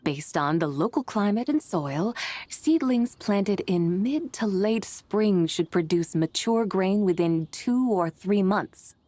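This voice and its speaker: A woman's voice: mid-range voice, lively intonation, slow, dark tone. A woman playing a proud, stern female immortal who lectures in lofty, archaic speech in a video game. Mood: lofty, instructive. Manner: haughty, archaic, lecturing diction. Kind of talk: game voice acting.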